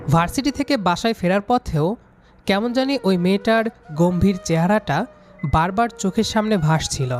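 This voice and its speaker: A young man reading a scripted first-person story, acting out his own lines of dialogue. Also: sits high. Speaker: story narrator playing the student hero. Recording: music under the voice. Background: background music.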